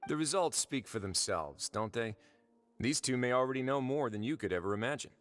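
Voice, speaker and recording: An actor playing a composed, wry young duke in a video game, speaking in a deliberate, measured storytelling manner. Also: male voice, medium pitch, animated, soft background music, close to an everyday voice. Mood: wry, assured. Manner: deliberate, dryly wry, unhurried. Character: composed, wry young duke who runs an underwater prison fortress.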